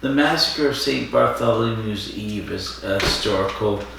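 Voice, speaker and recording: A man's voice: on the low side, moderate pace, creaky, background noise. A man in his fifties chatting casually after a few drinks, rambling, repeating himself and chuckling as he co-hosts. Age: 50s. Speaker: podcast co-host. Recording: echoey room, distant mic, faint ambience.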